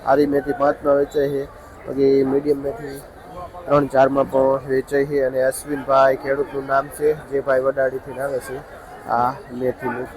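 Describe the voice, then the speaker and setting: A man's voice: mid-range voice, monotone, normal speed, poor audio. A man with a Saurashtra Gujarati accent giving matter-of-fact, repetitive vlog commentary on market prices. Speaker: market vlogger reporting produce prices. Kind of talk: on-the-spot vlog commentary with brief questions to vendors. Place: busy outdoor vegetable market. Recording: outdoor market with crowd and vehicle noise. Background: crowd chatter and traffic.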